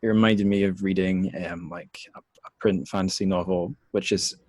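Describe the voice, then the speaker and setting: A man's voice: deep voice, steady tone, normal speed, darkish tone, no room sound. A man hosting an interview in casual conversation, speaking in a hesitant, reflective way. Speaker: podcast host.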